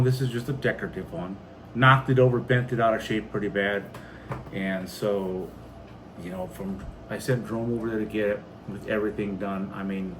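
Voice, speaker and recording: Plainspoken American man, noisy background. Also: mid-range voice. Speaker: homesteading video host. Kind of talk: casual conversational vlog.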